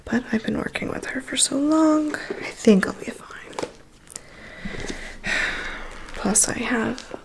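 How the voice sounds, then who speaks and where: A woman playing a chatty, weary vampire's assistant in an ASMR roleplay, in a soft breathy whisper with a confiding manner. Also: high voice, heavily acted. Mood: gossipy, wry. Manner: confiding, gossipy, unhurried. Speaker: ASMR performer. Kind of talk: ASMR personal attention roleplay.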